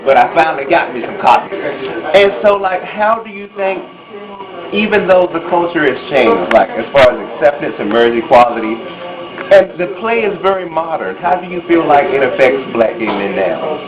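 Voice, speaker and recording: A man's voice: a little high, lively intonation, moderate pace. A man doing a chatty, effusive on-the-spot interview as the interviewer. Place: busy venue after a stage show. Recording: muffled sound, noisy crowd.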